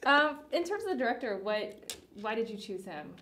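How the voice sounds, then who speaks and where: A woman's voice: a little high, big pitch swings, normal speed, a bit bright. A woman hosting a casual interview in a playful, informal way. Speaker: show host, interviewer. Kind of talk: casual live-stream interview. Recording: faint background noise, clicks.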